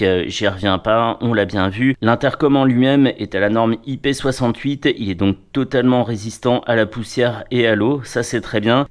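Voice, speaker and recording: A man giving a casual hands-on product review, playful and wry, often talking to himself and to the gear. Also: mid-range voice.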